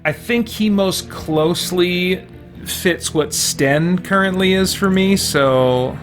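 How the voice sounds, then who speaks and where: A man's voice: sits high, takes it slowly. A man giving casual commentary as he hosts a video game playthrough. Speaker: let's play host. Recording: music playing behind the voice. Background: video game music.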